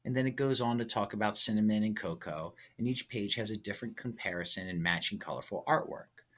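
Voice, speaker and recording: A man's voice: lowish voice, fairly quick, a bit bright. A man teaching a lesson, thinking aloud and coaching step by step with short check-in questions. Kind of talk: instructional lesson, thinking aloud through a writing example.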